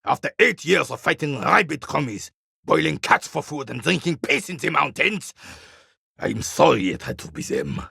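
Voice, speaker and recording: An actor playing a bitter, proud royalist war veteran in his eighties in a video game, with a rasping, weathered voice and a haughty, formal military way of talking. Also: fairly high pitch, sing-song, moderate pace, bright, forceful tone, no room sound, clean studio sound, heavily acted. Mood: bitter regret. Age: 80s. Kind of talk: video game character dialogue.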